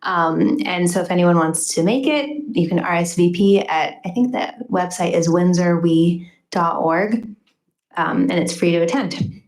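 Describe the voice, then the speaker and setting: A school board trustee makes chatty announcements in a fairly low voice, with um fillers and self-corrections. The sound is roomy, as in a meeting hall. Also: female voice, measured pace, a little mellow.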